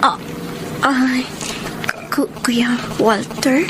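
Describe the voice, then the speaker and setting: A woman's voice: high voice, steady tone, extremely slow, noisy background. A woman with a Filipino accent playing a shy, respectful young housemaid and hopeful student in a radio drama, polite and hesitant.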